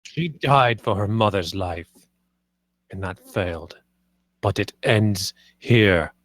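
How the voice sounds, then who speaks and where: A man plays a dying father in a film drama, grieving yet resolute. His voice is low and strained, close and dry. He speaks haltingly, near breaking into whispers. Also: animated, no room sound, heavily acted. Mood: grave defiance. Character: dying, grief-stricken father, resolute but failing. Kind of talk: table read of a film script.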